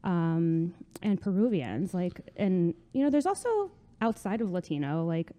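A young woman from New Jersey, talking casually as a guest in an interview, chatty and quick to laugh. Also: fairly low pitch, very animated, dark tone, highs cut off. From New Jersey, USA. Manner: chatty, laughs often, many fillers. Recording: long room reverb.

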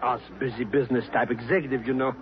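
A man's voice: mid-range voice, fairly even intonation, fast, a crowd in the background, close to an everyday voice. An actor playing a boastful, bumbling sidekick who fancies himself a ladies' man, blustering and stammering in a 1950s radio drama. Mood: cocky, flirtatious. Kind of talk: 1950s radio comic acting. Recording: dull, very noisy old radio recording.

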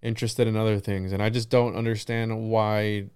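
Male podcast host, clear resonant voice, loose and rambling conversational delivery, very dry room sound. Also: fairly low pitch, flat delivery, moderate pace, darkish tone, no echo. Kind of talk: casual conversational interview.

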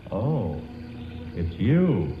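An actor playing a suave, patient, eerie personification of death in a 1940s radio drama, speaking in a coaxing, formal way. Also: male voice, fairly low pitch, huge pitch swings, extremely slow, slight background noise, full character voice. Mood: grave, regretful. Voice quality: whispery at times. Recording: muffled, echoey vintage 1940s radio broadcast.

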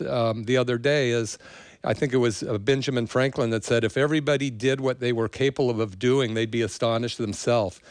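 A man's voice: medium pitch, clean tone, no room sound, studio quality. A man hosting a podcast interview, introducing his guest in a loose, rambling way with fillers. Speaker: podcast host.

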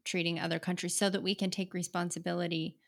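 A woman hosting a casual interview, affirming her guest often and quick to laugh. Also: fairly low pitch, level tone, vocal fry. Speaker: host.

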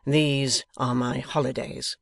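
A man's voice: mid-range voice, little pitch movement, slow pace, vocal fry, fairly bright tone, no echo, clean studio sound, full character voice. A man voicing a tense schoolmaster in a novel, intense and impatient, with rage held barely in check. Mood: intense, urgent. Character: tense, haggard schoolmaster seething with repressed rage. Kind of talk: dramatised novel reading.

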